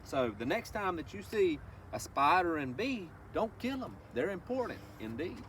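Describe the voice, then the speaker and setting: A man's voice: high voice, sing-song, normal speed, fairly bright tone. A man reading a picture book to children, speaking to them directly in a folksy, instructive way. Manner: instructive, addresses listeners directly with folksy asides and questions. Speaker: presenter of a children's read-along. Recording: distant microphone with faint background noise.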